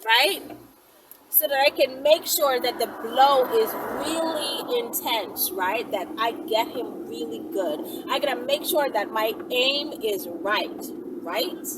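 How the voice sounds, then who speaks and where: A woman with a high voice hosts a casual question-and-answer chat. Her delivery swings widely and emphatically, with frequent tag questions. She is picked up at a distance from the mic. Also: slow pace. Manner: animated, emphatic, often tags sentences with "right?". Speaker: channel host. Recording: distant mic, loud vehicle-like background noise.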